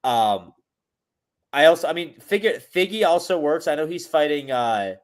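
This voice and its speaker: A man's voice: fairly high pitch, lively intonation, normal speed. A man hosting a casual podcast chat. He rambles, restarts his sentences often and uses many fillers. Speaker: podcast host.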